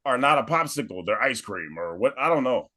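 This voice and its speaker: A man's voice: mid-range voice, animated, a little fast, bright, forceful tone, no echo, clean studio sound. A man from Phoenix, a co-host in a casual podcast chat, laughing and joking with friends. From Phoenix, USA. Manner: laughing, joking, swearing freely.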